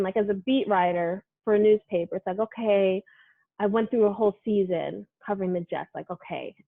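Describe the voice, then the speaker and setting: A woman's voice: mid-range voice, dry recording, narrow, tinny audio. A sports reporter from Brooklyn, a woman, talking casually in an interview, full of "like" and "you know". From Brooklyn, New York. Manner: chatty, animated, many fillers like "like" and "you know".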